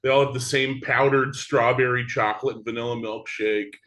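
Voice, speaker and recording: A man with an American accent and a medium-pitched voice chats as a podcast guest. His delivery is casual and conversational. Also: fairly even intonation, normal speed, fairly bright tone. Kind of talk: casual chat.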